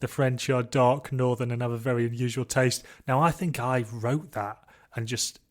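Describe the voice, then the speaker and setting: A man's voice: medium pitch, fairly even intonation, normal speed. English podcast host guy, mid voice, chatty and rambly. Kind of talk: casual podcast conversation.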